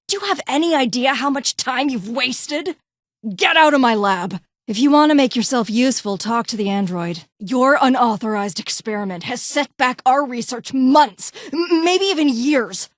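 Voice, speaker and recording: A woman's voice: sits high, animated, measured pace, no echo, clean studio sound. A woman voicing a brusque, condescending vault doctor and scientist in a video game, with clipped, formal, haughty delivery. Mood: angry. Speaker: voice actor in a game mod. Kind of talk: scripted game character dialogue.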